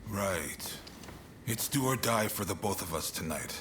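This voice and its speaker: An actor playing a composed, resolute samurai-era negotiator in a video game, speaking in a measured, assured way. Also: male voice, on the low side, lively intonation, noisy background. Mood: resolute, calm. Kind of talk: dramatic video game cutscene acting.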